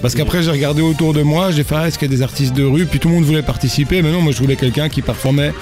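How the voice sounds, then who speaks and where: A man's voice: a little high, rapid-fire. Male guest, casual and rambling, music bed under voice. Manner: rambling, laughing, many restarts. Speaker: festival organizer guest. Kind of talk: casual radio chat. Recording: radio studio with music underneath.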